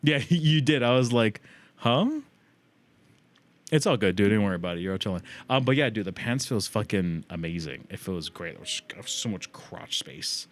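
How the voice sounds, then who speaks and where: Kinda deep voiced guy streamer, just rambling casually, lots of slang and cussing. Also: expressive, normal speed, no room sound. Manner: rambling, slangy, frequent swearing. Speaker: livestream host and gamer. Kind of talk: casual livestream chat.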